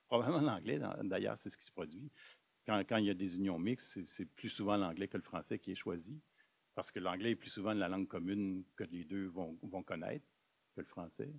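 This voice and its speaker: A man's voice: low voice, creaky, no echo. A man arguing in an academic discussion, hesitant and often repeating his words. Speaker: speaker at a seminar. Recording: dull lo-fi room recording.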